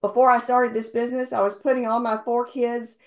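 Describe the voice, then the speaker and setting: Middle-aged woman, slightly hoarse, earnest rambling motivational team-call speaker. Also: fairly high pitch, level tone, fairly quick. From based in Houston, Texas. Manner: earnest, rambling, with many ums and restarts. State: slightly losing her voice. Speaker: insurance agency owner sharing sales tips.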